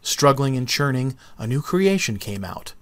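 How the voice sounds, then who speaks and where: A man narrating a children's book as an expressive read-aloud. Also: medium pitch, moderate pace, a little hiss or hum. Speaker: storybook narrator.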